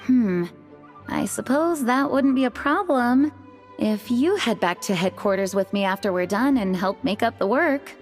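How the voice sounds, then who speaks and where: A woman's voice: a little high, expressive, a little slow. A woman playing a dutiful, practical knight leader in a video game, composed and businesslike. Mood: dutiful, firm. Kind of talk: video game cutscene acting. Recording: music behind the voice.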